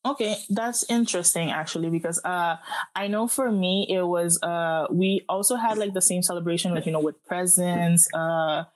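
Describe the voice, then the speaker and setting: Young woman, from Aruba, podcast host, lowish voice, chatty, laughs easily. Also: steady tone, normal speed, a little mellow. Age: young adult. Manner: friendly, chatty, laughs easily, many fillers. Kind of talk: casual podcast conversation.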